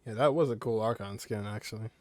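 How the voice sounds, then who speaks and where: A man's voice: on the low side, fast, darkish tone, no room sound. A man giving casual gameplay commentary as the host of a gaming video.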